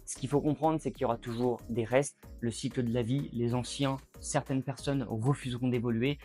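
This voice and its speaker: Man, late twenties, YouTube explainer, mid-pitched and reflective, faint music bed. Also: fairly even intonation, brisk, mellow. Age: late 20s. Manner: reflective, addresses viewer directly. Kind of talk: casual explainer at a whiteboard.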